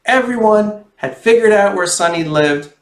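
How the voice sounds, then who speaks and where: Higher-voiced man reading to kids, echoey room. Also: moderate pace. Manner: expressive, addresses young viewers directly. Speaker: children's author reading aloud. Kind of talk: animated storybook read-aloud and friendly address to children. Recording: roomy, echoey sound.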